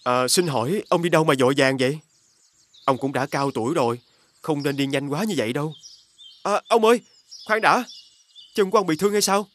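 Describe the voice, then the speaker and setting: A man voicing a kind, righteous young traveller in an animated fairy tale, polite and earnest. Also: a little high, fairly quick, pauses often, natural voice. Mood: concerned.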